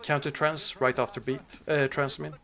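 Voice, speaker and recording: A young man from Sweden with a Swedish accent, casually reviewing a match replay as an analyst. He thinks aloud, pausing often with fillers. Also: mid-range voice, level tone, gravelly, sharp tone. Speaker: esports analyst.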